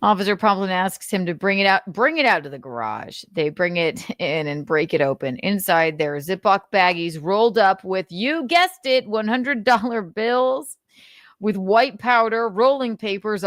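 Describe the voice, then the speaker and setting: A woman's voice: medium pitch, no echo, clean studio sound. A woman livestream host doing a dramatic reading, breaking off into sweary, sarcastic casual commentary. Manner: sweary, sardonic asides.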